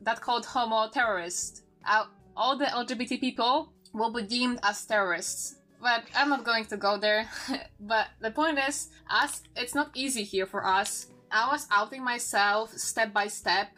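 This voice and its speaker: Young Polish-accented woman, twenties, bright tense tone, nervous halting delivery, faint music under. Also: on the high side. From Poland. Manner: earnest, nervous, halting with restarts. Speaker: podcast guest. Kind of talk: casual chat.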